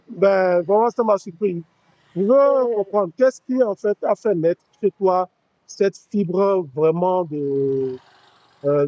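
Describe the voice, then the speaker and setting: A man hosting a casual interview, curious and friendly, often nudging with quick "ok"s. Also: sits high, no echo, dull audio. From Benin. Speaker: show host.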